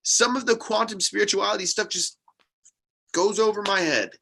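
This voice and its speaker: A man's voice: high voice, normal speed, vocal fry, sharp tone, husky, heavily acted. A man playing a skeptical, practical young sidekick in a script read, with a wry, casual way of talking. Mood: apologetic. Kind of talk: table read of a TV pilot script.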